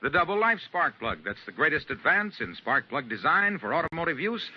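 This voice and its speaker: An American male announcer sells with punchy emphasis in an old radio ad style. His voice sits a little high and swings widely in pitch, on a muffled vintage recording. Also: sing-song, fairly quick, slightly rough. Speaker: commercial announcer. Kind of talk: scripted 1950s radio ad pitch.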